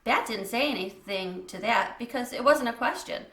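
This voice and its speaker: A woman's voice: fairly high pitch, animated, normal speed, a bit bright, echoey room. A woman reading a children's book aloud as a storyteller, in a scripted narration style.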